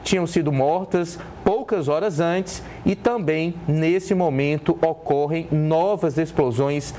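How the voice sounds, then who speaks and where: A Brazilian man anchors a live newscast in a fairly high male voice. He is in his thirties and moves between reporting and explanation. He speaks into a lapel mic, with clear noise behind him. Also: slow pace, warm tone. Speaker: news anchor. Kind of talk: live news presenting with explanatory commentary. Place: indoor news studio.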